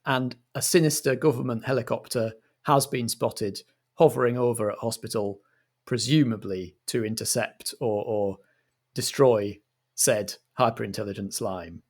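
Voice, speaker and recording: A British man hosting and narrating improvised radio comedy in a measured, mock-formal manner. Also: medium pitch, steady tone. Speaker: host and game master.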